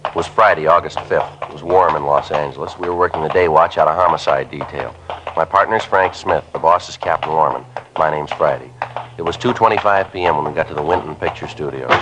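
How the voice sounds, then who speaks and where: An actor playing a terse, methodical police detective sergeant who narrates in a flat, matter-of-fact way in a 1950s radio crime drama. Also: male voice, very deep voice, fairly quick. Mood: flat narration. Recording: hissy old radio transcription.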